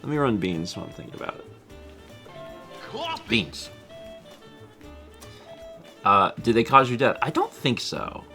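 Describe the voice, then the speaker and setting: Lively bright-voiced man in his thirties. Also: mid-range voice, measured pace, gravelly, long pauses, soft background music. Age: 30s. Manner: rambling, self-deprecating, laughs often. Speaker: livestream co-host. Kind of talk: casual chat reading viewer comments.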